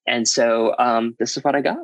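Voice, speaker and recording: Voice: mid-range voice, fairly even intonation, moderate pace, dry recording, poor audio. An interview guest telling a personal story in a candid, explanatory, matter-of-fact way.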